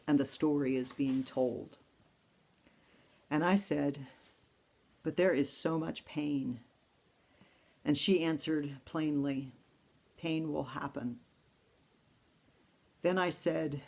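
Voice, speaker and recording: A woman pastor giving a reflective sermon, reading poetry in a slow, intimate way. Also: low-pitched, gravelly, darkish tone, breathy edge. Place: church service, streamed online.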